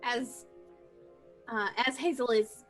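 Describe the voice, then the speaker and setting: A woman with a fairly high voice takes part in a tabletop roleplaying game as a player. She improvises a description of what her character is doing, while faint music plays behind her. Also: fairly even intonation, slow, poor audio. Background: background music.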